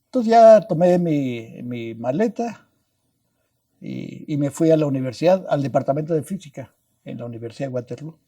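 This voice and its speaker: An older man with a Mexican accent tells stories as a guest on an interview podcast. His voice sits a bit high but sounds deeply mellow and dark. He rambles, repeats himself, and tags his sentences with little questions. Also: expressive, moderate pace. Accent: Mexican Spanish. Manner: rambling, restarts words, adds tag questions. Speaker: guest scientist. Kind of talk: anecdotal storytelling in a podcast interview.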